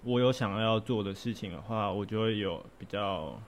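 Young male co-host, lowish voice, soft-spoken, faint background hiss. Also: moderate pace. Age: young adult. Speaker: co-host, recent university graduate. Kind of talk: casual chat.

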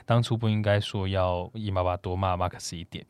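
A man's voice: sits low, steady tone, moderate pace, clean tone, dry recording. A man co-hosting a podcast, chatting casually and reading out comments from listeners. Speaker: podcast co-host.

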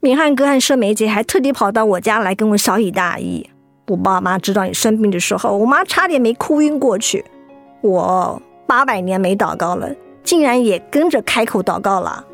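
An actress with a Taiwanese accent playing a blunt, softhearted young woman who nags her best friend in a radio drama. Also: medium pitch, expressive, normal speed, natural voice. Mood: upset, softening. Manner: blunt, nagging, exasperated. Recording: music behind the voice.

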